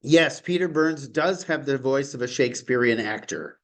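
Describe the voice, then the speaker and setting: A man's voice: mid-range voice, lively intonation, normal speed. A man teaching an online study class, enthusiastic and rambling, with frequent chuckles. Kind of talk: informal lecture on a call.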